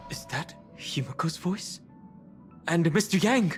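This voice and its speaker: An actor playing a gentle, bewildered, pensive young male traveller in a video game. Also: a little high, animated, slow pace. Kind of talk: English video game voice acting. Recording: game music underneath.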